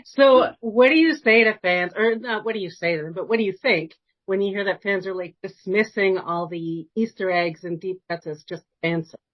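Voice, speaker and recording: A woman's voice: medium pitch, moderate pace, few pauses. A woman interviewing a guest in a casual, conversational style. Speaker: podcast interviewer. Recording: dull lo-fi audio.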